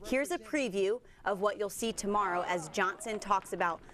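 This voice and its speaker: A woman's voice: medium pitch, moderate pace, fairly bright tone. A woman reading scripted sports news as co-anchor of a television show in a studio.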